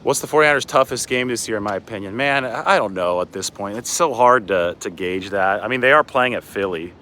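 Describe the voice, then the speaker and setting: A man's voice: on the low side, brisk, bright, forceful tone. An American man in a casual livestream, chatting and rambling through asides as he moves about outdoors. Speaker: sports reporter hosting a livestream. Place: outdoors on city streets by a river at dawn. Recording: outdoor city ambience with traffic noise.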